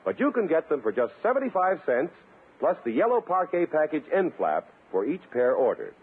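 A man works as a 1950s radio announcer, reading scripted copy with animated intonation. His voice is a little rough. The old recording is muffled, with faint music. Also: fairly high pitch, fairly quick.

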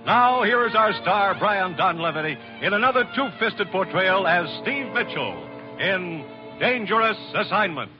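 A man's voice: high-pitched, lively intonation, a little slow. An American man announcing in a dramatic 1940s radio style. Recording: muffled old radio recording, music behind. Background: music.

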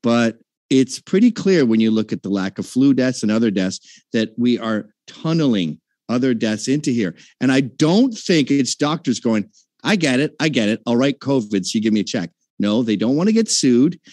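A man's voice: mid-range voice, lively intonation, normal speed, darkish tone, dry recording. A man arguing in a heated debate, combative and mocking, with frequent profanity.

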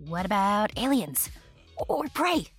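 A woman's voice: medium pitch, very animated, slow pace, breathy edge, soft background music, exaggerated voice. An actress playing a playful, jumpy young American woman in a horror-comedy audio drama, with casual, teasing delivery.

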